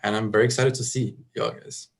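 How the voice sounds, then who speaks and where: A man's voice: fairly low pitch, level tone, fast, vocal fry. A young man who grew up in Germany, a student panelist giving a friendly introduction. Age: young adult.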